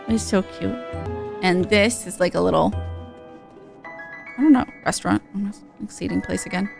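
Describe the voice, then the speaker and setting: A woman's voice: mid-range voice, moderate pace, vocal fry. A woman game streamer giving casual, playful, chatty commentary on her playthrough. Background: game music.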